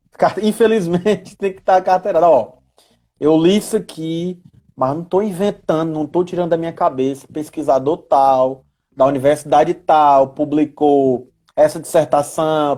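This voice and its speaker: A Brazilian guest expert talks in a relaxed live discussion. The pitch is medium, and the delivery is didactic and emphatic, with key words stretched out for weight. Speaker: guest expert on role-playing games in education. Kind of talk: casual live-stream discussion, explanatory.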